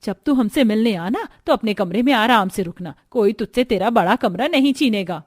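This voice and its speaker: A woman's voice: fairly high pitch, lively intonation, a little fast, close to an everyday voice. A woman voicing a middle-aged, bossy but affable mother-in-law in a cartoon. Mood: chiding.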